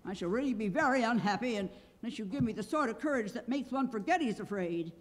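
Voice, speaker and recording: A woman's voice: a little high, expressive, a little fast, pitched above the performer's own voice, natural voice. A woman voicing an anxious, needy cowardly lion with a pleading manner in a storybook reading. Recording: long hall reverb, clear background noise.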